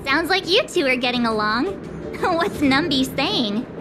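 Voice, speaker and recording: A cheerful, curious teenage girl in a video game. Her very high voice swings playfully at an unhurried pace, with music underneath. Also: animated. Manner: bubbly, playful.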